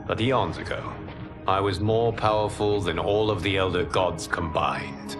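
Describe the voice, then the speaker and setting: An actor playing a humble former god in a video game, speaking in a formal, measured, earnest way. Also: male voice, low voice, music in the background. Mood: solemn, explanatory. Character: solemn, humble former god and protector of Earth. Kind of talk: dramatic video game cutscene acting.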